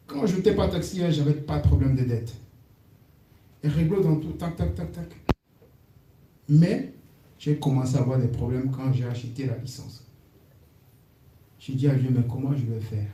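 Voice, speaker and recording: A man tells his story to the congregation in an earnest, animated way. His voice is very dark and mellow. He is picked up from across an echoey church hall, with a faint hiss underneath. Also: mid-range voice, far from the mic. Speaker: congregant giving testimony. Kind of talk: spontaneous church testimony. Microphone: distant room mic.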